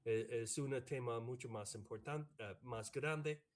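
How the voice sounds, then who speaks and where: An American man, a professor and native English speaker, answering in a panel over a video call, halting with many fillers and restarts. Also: medium pitch. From United States. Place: online video meeting. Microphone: computer mic on a video call.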